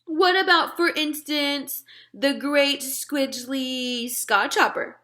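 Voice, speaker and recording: A woman's voice: high voice, steady tone, takes it slowly, pushed and bright, voice pushed up, full character voice. A woman voicing a very old friendly giant in a children's storybook, declaiming theatrically and indignantly in garbled grammar. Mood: grand, teasing. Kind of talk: children's storybook read-aloud.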